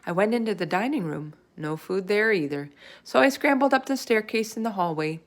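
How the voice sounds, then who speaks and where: A woman reading a children's chapter book aloud in expressive narration. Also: medium pitch, fairly quick. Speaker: storybook reader.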